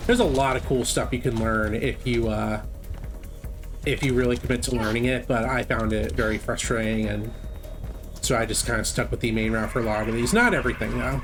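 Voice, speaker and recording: A man's voice: medium pitch, fairly even intonation, fairly quick. A man giving casual, informal live commentary as he speedruns a video game, thinking aloud. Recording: game music behind the voice. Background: video game music.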